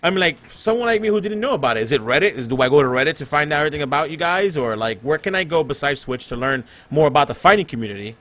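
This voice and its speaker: A man from Puerto Rico hosting a casual podcast, excitable and rambling, laughing often between his questions. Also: on the high side, very fast, few pauses. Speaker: podcast host.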